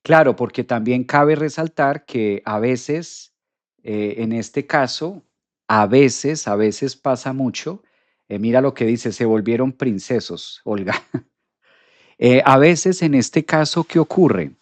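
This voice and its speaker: A man talks straight to his viewers on a live astrology stream. His pitch sits in an ordinary middle range, and he repeats phrases for emphasis. The sound is dry and close, with no room echo. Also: slow. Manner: animated, repeats phrases, addresses audience directly. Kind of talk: live stream talk reading viewer comments.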